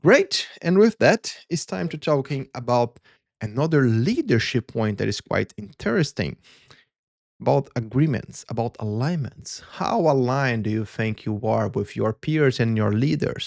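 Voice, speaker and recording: A man's voice: medium pitch, lively intonation, measured pace, creaky, darkish tone, no echo, clean studio sound. A man from Brazil with a Brazilian Portuguese accent, a Portuguese native speaker hosting a solo show, talking in an earnest, reflective way and searching for words in his second language. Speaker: podcast host.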